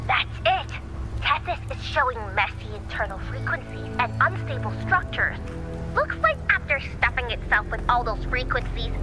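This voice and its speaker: A woman's voice: high-pitched, rough recording. A woman voicing an eager young female strategist in a video game, earnest and analytical.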